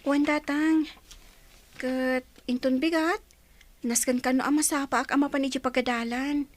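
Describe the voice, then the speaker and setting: A woman's voice: sits high, steady tone, normal speed, natural voice. A young woman playing a determined, dutiful daughter in an Ilocano radio drama. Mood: dutiful, wistful. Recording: music under the voice.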